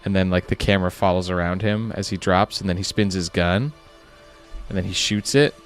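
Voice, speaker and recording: A man who makes an animated series, chatting casually on a live stream at his desk, rambling with many fillers and restarts. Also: deep voice, fairly quick, soft background music. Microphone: webcam setup at a desk.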